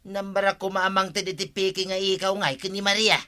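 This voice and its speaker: An exasperated, defensive husband with an Ilocano accent. His voice is bright and edgy, and he grumbles back in broad radio comedy style. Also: sits high, completely flat delivery, fairly quick. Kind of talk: radio comedy drama acting.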